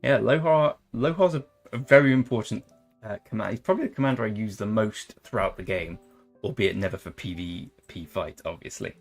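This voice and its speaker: A man's voice: lowish voice, fairly quick, vocal fry, faint music behind. Joking young Englishman streaming a game. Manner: jokey, rambling, tries silly accents. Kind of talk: casual live-stream chat over gameplay.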